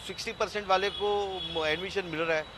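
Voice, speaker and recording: A man's voice: high-pitched, fairly even intonation, a little fast, noisy background. A man giving a hesitant but emphatic opinion in a street interview outdoors.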